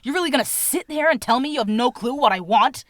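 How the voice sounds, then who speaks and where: A woman's voice: high-pitched, expressive, fast talker, dry recording, clean studio sound, voice pushed up. A woman voicing a menacing, short-tempered young male thug in an audiobook, snarling and threatening. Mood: exasperated.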